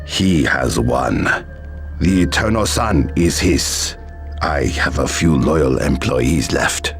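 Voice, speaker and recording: A man playing a defeated but dignified crime lord in a video game, speaking in a formal, measured, grave manner. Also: a bass voice, animated, soft background music, full character voice. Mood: grave, resigned. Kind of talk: video game cutscene acting.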